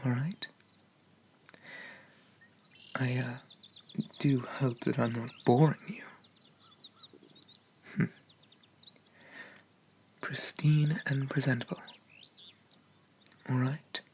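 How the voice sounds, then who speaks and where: A man playing a haughty, possessive demon prince of dreams in an ASMR roleplay, speaking formally and pompously in a soft, often whispered voice. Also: medium pitch, steady tone, slow pace, creaky, pauses often, slight background noise. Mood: softly instructive. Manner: pompous, formal, archaic diction. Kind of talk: ASMR roleplay, addressing the listener.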